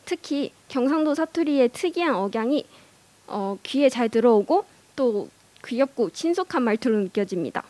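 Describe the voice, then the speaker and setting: A woman's voice: a little high, expressive, normal speed, warm tone, no echo. A young woman giving a prepared speech in a learned language, careful and earnest, with small hesitations. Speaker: speech contestant.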